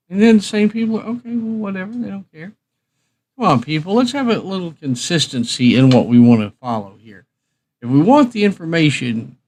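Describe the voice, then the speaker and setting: A man hosting a livestream, chatting casually and talking straight to his listeners in an emphatic, rhetorical way. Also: on the high side. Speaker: livestream host sharing music. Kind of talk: casual opinionated livestream chat.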